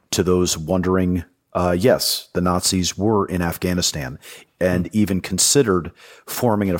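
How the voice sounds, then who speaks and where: A man, a former Army intelligence soldier, explaining history as a guest on a podcast interview, thoughtful and full of fillers and restarts. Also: sits low.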